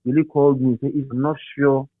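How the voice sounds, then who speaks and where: A middle-aged Ghanaian man reminiscing as an interview guest in a halting, repetitive, animated storytelling manner. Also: medium pitch, no room sound. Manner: halting, repeats words and phrases, animated storyteller. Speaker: interview guest, radio DJ and presenter. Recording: thin phone-like sound.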